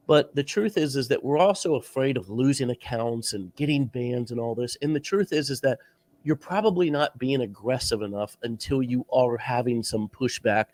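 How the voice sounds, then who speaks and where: A man's voice: mid-range voice, a little mellow, dry recording. A man hosts an easygoing interview while fighting a sore throat and a stuffy nose. He circles his points and repeats words as he thinks. The sound is close and dry, with no room around it. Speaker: podcast host interviewing a guest. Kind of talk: casual interview chat.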